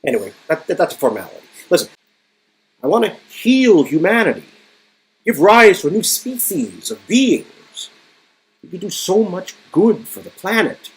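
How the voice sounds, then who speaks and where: A man's voice: high voice, sing-song, moderate pace, breathy edge, voice pushed up, heavily acted, poor audio. A man playing a grandiose, smug, absent-minded male inventor villain with a theatrical, stammering delivery in a dramatic reading of game dialogue. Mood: visionary. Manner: theatrical, stammering, self-important.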